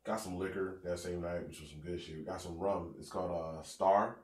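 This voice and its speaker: A man's voice: low voice, steady tone, fairly quick, roomy sound, distant mic. A man from North New Jersey hosting a casual podcast chat, slangy and rambling, a bit congested and sniffling from allergies. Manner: laid-back, slangy, rambling with fillers. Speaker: podcast host.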